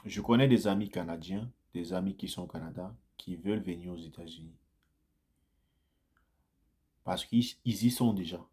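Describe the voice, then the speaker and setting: Male vlogger, lower register, conversational storyteller who repeats himself and warms into animated anecdotes. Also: moderate pace, long pauses, husky. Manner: chatty, repeats phrases, grows animated in anecdotes. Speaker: vlogger sharing immigrant experience. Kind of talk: casual first-person storytelling to viewers.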